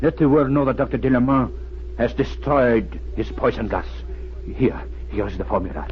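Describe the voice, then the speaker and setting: An old chemist played by a male actor in a vintage radio drama, solemn and halting yet quick to agitation. The pitch is middling, and the sound is dull and lo-fi. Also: a little hiss or hum, lightly acted. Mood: solemn, resigned. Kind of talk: old-time radio acting.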